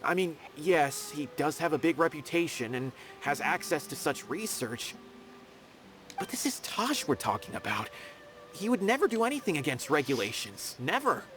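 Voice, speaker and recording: A man's voice: a little high, very animated, normal speed, a bit bright, faint music behind. A man playing a loyal, earnest and polite young investigator in a video game. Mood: defensive. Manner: earnest, emphatic.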